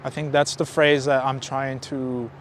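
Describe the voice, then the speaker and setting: A man's voice: mid-range voice, little pitch movement, a little slow, slight background noise. A young man, a college senior, giving a reflective interview answer. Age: young adult.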